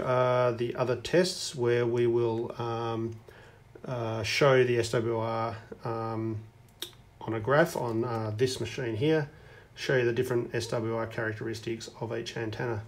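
A man's voice: mid-range voice, steady tone, measured pace. A man giving a casual hands-on demonstration as a hobbyist reviewer. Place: indoors at a wooden tabletop.

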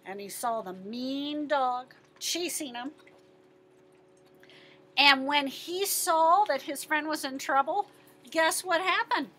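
A middle-aged American woman reads a picture book aloud to children in an expressive, emphatic way, asking questions and answering them. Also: high voice, takes it slowly, a little hiss or hum, poor audio. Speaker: teacher-librarian reading to children. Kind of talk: animated picture-book read-aloud with questions to young listeners.

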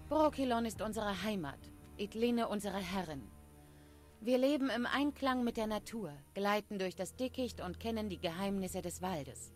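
Casting: woman as proud dryad guardian of the forest, solemn and measured fantasy-game delivery, music underneath. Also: medium pitch, animated, lightly acted. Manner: measured, solemn, formal phrasing. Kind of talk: scripted game dialogue.

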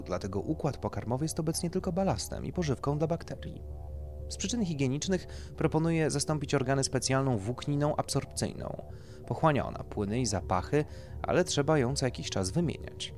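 A man's voice: mid-range voice, darkish tone, pitched above the performer's own voice, natural voice. A man voicing a polite, tactful male doctor who explains procedures clinically in an audiobook. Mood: explanatory. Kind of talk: audiobook character dialogue.

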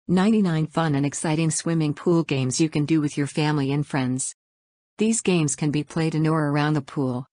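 A woman's voice: low-pitched, darkish tone, no room sound, clean studio sound. A woman reading an upbeat, scripted promotional voice-over as a website host. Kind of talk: scripted product narration.

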